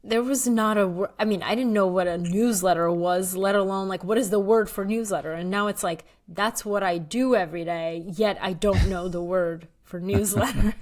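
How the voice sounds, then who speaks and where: A woman's voice: medium pitch, moderate pace, a little mellow. A woman in her thirties, originally from Bulgaria, talking casually as an author guest, full of likes, ums and restarts, laughing often. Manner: chatty, laughs often, many fillers and restarts. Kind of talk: casual interview conversation.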